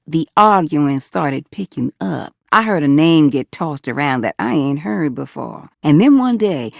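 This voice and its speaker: Flirtatious female game character, American accent, gravelly creak, very animated pitch, folksy, teasing, sassy, close dry sound. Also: no echo, exaggerated voice. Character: sassy, flirtatious female household object, hot and confiding. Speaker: voice actor in a dating simulator game.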